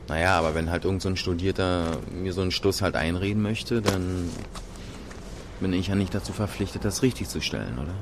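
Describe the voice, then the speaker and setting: Radio satire: male actor as unrepentant criminal, deep, colloquial and rambling, noisy location tape. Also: close to an everyday voice. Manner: colloquial, rambling, shrugging, full of filler words. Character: cynical male repeat violent criminal, plain-spoken, unrepentant. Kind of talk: satirical radio play, mock interview clip.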